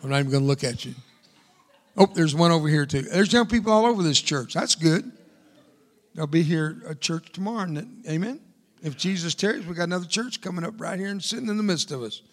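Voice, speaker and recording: A man's voice: a little high, lively intonation, brisk. Older man preaching a fiery sermon. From United States. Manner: emphatic, repeats phrases for emphasis. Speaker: guest speaker, veteran. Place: church.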